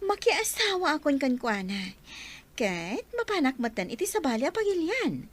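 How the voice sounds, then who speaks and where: A woman's voice: high-pitched, very animated, moderate pace. An actress in an Ilocano radio drama playing a headstrong, dreamy young woman, emphatic and plaintive, with an Ilocano accent. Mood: dreamy, boastful. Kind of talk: Filipino radio drama acting.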